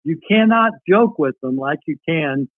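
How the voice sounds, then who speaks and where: A man's voice: fairly high pitch, expressive, measured pace, no echo. An older man being interviewed, talking and reading old letters aloud. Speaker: podcast guest reading a relative's wartime letters. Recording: tinny, phone-like call audio.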